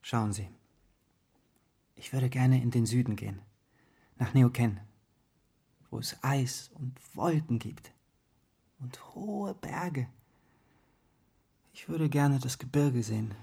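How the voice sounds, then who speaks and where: A man's voice: medium pitch, slow, creaky, pauses often, slightly rough, heavily acted. An actor playing an intense, dreamy young man confessing himself with impassioned drama in a radio play. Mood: wistful. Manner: impassioned, dramatic, swinging between hushed and ecstatic. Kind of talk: German radio drama acting.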